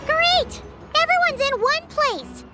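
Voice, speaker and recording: Tiny excitable game mascot, super squeaky, bouncy, loud music behind. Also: female voice, slow pace, exaggerated voice. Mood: eager, curious. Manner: speaks of herself in third person, perky. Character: small chirpy female fairy-like companion, childlike and excitable. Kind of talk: video game character acting.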